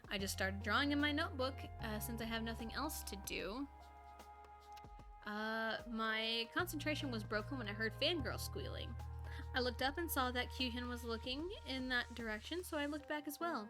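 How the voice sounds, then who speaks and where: A woman's voice: on the high side, normal speed, over music. A woman casually reading a first-person story aloud on a live stream, informally, with ad-libbed asides and lightly voiced dialogue.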